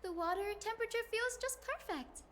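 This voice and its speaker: A woman voicing a gentle, polite young healer in a video game, with a soft, earnest delivery. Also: extremely high pitch, fairly quick, long reverb tail, a little hiss or hum.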